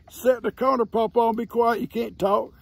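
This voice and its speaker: A man, hoarse from losing his voice, chatting and teasing his farm animals outdoors into a phone held at arm's length. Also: very high-pitched. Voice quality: gravelly. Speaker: farm vlogger. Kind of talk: casual talk to animals and camera. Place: outdoors under a shade tree on a farm.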